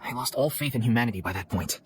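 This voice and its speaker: A man's voice: medium pitch, animated, fast, a little hiss or hum, lightly acted. An actor playing a plain-spoken young man of a theatre troupe, a former orphan, in a video game. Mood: reflective, sad.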